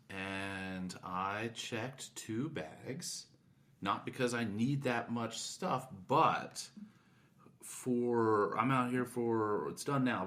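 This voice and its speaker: A man's voice: lowish voice, fairly bright tone. A man chatting casually as a podcast co-host.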